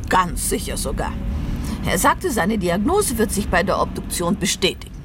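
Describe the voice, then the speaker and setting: A woman's voice: mid-range voice, very animated, moderate pace, noisy background, close to an everyday voice. A woman playing a composed, sympathetic companion in a German radio drama, speaking emphatically and articulately. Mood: earnest, emphatic.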